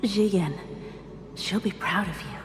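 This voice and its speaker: An earnest, encouraging wife and mother in a game memory scene. Her mid-pitched voice carries long reverb, with faint music underneath. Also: slow pace. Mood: earnest, pleading. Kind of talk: video game voice acting. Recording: echoey hall reverb, music behind.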